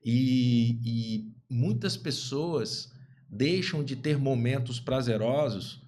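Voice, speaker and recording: A man's voice: mid-range voice, slow, a little mellow. A man in his fifties, a guest physician on a podcast, speaking emphatically and repeating key phrases. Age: 50s. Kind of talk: podcast interview talk.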